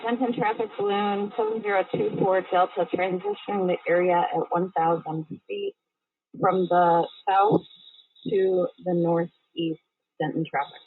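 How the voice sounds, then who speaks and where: A woman's voice: lowish voice, fairly even intonation, a little slow, husky, no echo. A woman speaking as a balloon pilot, making clipped, procedural aviation calls over the radio. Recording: tinny, phone-like band-limited radio audio.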